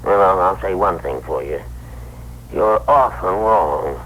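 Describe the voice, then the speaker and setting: A man's voice: low voice, slow pace, a bit bright. An actor playing a cranky, obstinate old man, the outgoing year, talking folksily in a 1960s radio drama. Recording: noisy vintage radio broadcast recording.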